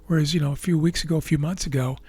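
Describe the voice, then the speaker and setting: Raspy man talking through trading charts. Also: fairly high pitch, fairly even intonation, warm tone, soft background music. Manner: measured, thinking aloud with fillers and restarts. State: losing his voice. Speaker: trading video host. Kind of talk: explaining live market charts.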